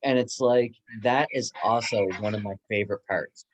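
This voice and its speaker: A man's voice: fairly low pitch, steady tone, normal speed, a little hiss or hum. A man chatting casually and enthusiastically in a book discussion. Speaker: book club guest, bookstore worker.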